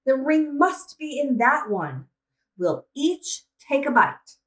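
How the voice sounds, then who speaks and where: A woman's voice: high-pitched, sing-song, slow, a bit bright, pitched above the performer's own voice, exaggerated voice. A woman voicing an eager, anxious, bossy young girl in a children's storybook, speaking emphatically. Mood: bossy, urgent. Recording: roomy echo, distant microphone.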